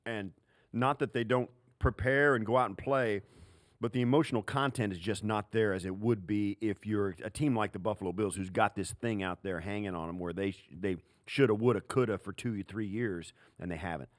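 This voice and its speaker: A man's voice: on the low side, fairly quick, no room sound, studio quality. A man co-hosting a sports podcast, giving conversational football commentary in a colloquial way with emphatic repetition. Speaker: sports podcast co-host, analyst.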